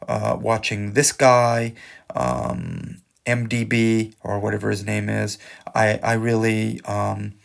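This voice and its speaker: A man's voice: on the low side, gravelly. A man who speaks English as his first language, teaching an exam-prep lesson in a musing, hesitant way with many fillers. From English-speaking country.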